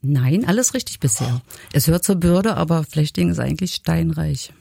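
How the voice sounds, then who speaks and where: A woman's voice: deep voice, animated, moderate pace, gravelly, dark tone, husky, dry recording, studio quality. A woman from Saxony-Anhalt chatting casually as a radio guest, giving short, laconic answers. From Germany, Saxony-Anhalt. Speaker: guest co-host, radio listener. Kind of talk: casual interview chat. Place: radio studio. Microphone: close studio microphone.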